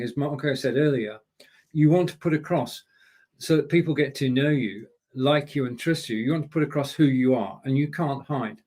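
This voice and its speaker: A man's voice: mid-range voice, a little mellow. A man speaking as a guest expert on a live show from his home broadcasting studio, giving direct, coaching advice to viewers. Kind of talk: instructive live-stream talk.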